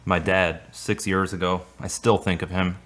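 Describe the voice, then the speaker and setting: A man's voice: sits low, level tone, normal speed, a bit bright. An actor playing a kind, devout male friend in a radio drama, with a polite, earnest, tentative manner. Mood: sincere.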